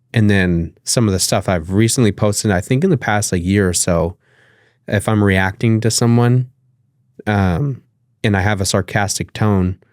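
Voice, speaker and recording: Deep-voiced nasal man, casual guest. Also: darkish tone, no echo, clean studio sound. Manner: casual, loose, swears freely, many fillers. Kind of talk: casual interview conversation.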